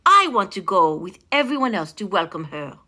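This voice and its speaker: A woman's voice: medium pitch, big pitch swings, moderate pace, pushed and bright. A woman voicing a sulky, impatient king in a storybook, pompous and childish, with petulant emphasis.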